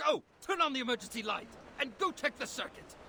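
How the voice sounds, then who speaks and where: An actor playing a haughty, short-tempered security company boss in a video game, commanding and indignant. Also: male voice, very high-pitched, sing-song, fairly quick, gravelly, sharp tone, slight background noise, full character voice. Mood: furious, barking.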